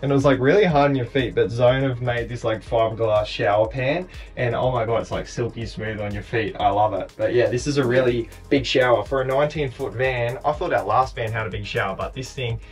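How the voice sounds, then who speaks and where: A young Australian man talks in a relaxed, laid-back manner, explaining things in plain everyday words. His voice sits at an ordinary pitch for a man. Faint music runs softly behind him. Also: a little fast, hardly any pauses. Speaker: vlogger, co-host. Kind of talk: casual chat. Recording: faint music under the talk.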